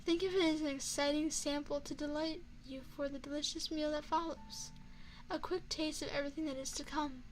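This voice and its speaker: A woman voicing a courteous female vampire chef and restaurant owner in an ASMR roleplay, speaking in a formal, measured, refined way. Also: high-pitched, steady tone, natural voice. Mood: gracious, attentive. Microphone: binaural microphone.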